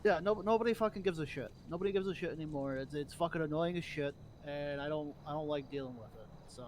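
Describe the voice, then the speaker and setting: A man's voice: fairly high pitch, fast talker, darkish tone, slight background noise. A man in casual role-play game chat, grumbling bluntly with plenty of swearing. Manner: blunt, profane, grumbling rant.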